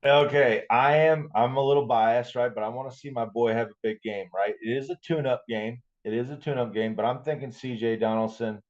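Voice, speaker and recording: A man's voice: medium pitch, fairly even intonation, fairly quick, few pauses. A man co-hosting a sports podcast, chatting about football in a folksy, informal way. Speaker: podcast co-host. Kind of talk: casual sports chat. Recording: dull, lo-fi microphone sound.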